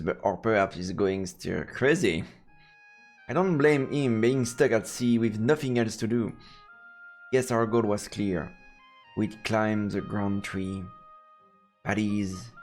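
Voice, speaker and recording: A man streaming a let's play, reading visual novel narration in a halting way with casual asides. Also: on the low side, soft background music.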